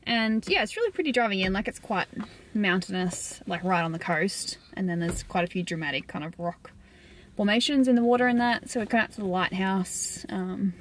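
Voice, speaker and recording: A woman's voice: mid-range voice, brisk, a little hiss or hum, poor audio. A young Australian woman chatting casually to a handheld phone camera while out walking and driving. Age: young adult. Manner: chatty, lots of "like" and laughs. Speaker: travel vlogger. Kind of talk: casual travel vlog. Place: outdoors on forest and coastal walks, and in a car. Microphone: phone camera at arm's length.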